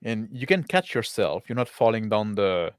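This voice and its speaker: A man narrating a tabletop roleplaying game as game master, improvising haltingly. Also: on the low side, big pitch swings, smooth, dry recording, clean studio sound.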